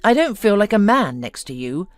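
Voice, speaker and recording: A woman's voice: mid-range voice, very animated, moderate pace, dry recording, soft background music, lightly acted. Casting: woman narrator as humiliated meek husband in audio story, trembling and pleading, dry recording. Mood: hurt, resolute. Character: timid, resentful, long-humiliated husband, adult man.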